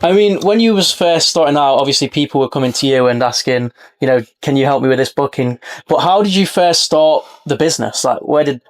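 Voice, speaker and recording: Male podcast host, higher-pitched voice. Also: lively intonation, brisk, hardly any pauses. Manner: friendly, frequent "yeah" backchannels. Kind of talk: casual podcast interview. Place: on board a yacht.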